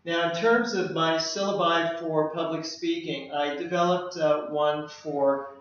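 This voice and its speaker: A middle-aged man, a language lecturer, walking through slides of his work in a measured way, often pausing on "uh". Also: a little high, fairly even intonation, a bit bright. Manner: measured, with frequent "uh" fillers. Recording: echoey room, dull lo-fi sound.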